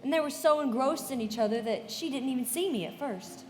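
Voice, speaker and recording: A woman playing a poised young society woman who tells a story in measured, confiding narration in a live radio play, in a reverberant hall with music behind. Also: high voice, slight background noise, close to an everyday voice. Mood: confiding, reminiscent.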